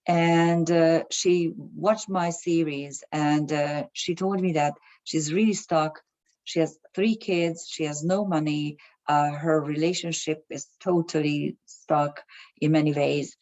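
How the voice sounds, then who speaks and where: Woman, low voice, hesitant with fillers, slightly muffled recording. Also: a little mellow. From Germany. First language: Hungarian. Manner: hesitant, many fillers and restarts. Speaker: astrologer. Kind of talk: casual talk to camera.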